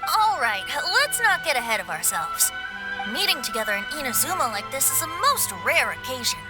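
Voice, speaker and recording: A woman's voice: sits high, big pitch swings, normal speed, rough recording. A woman voicing a boyish young male bard in a video game, breezy, vain and theatrical, with lilting, flowery phrasing. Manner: lilting, flowery, self-satisfied. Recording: music behind the voice.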